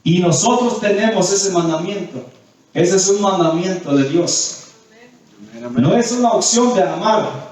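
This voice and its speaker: Male preacher, high-pitched voice, didactic sermon delivery with repeated phrases; very reverberant, distant-miked sound. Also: measured pace, a little mellow. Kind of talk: sermon with scripture readings and questions to the congregation. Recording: very echoey hall, distant mic.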